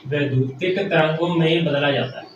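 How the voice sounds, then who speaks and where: A man with a fairly high voice lectures from across a very echoey room. He explains step by step as a technical teacher, often repeating himself and posing questions that he then answers. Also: normal speed, muffled recording. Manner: repeats phrases, asks and answers own questions. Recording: very echoey room, distant mic, faint noise.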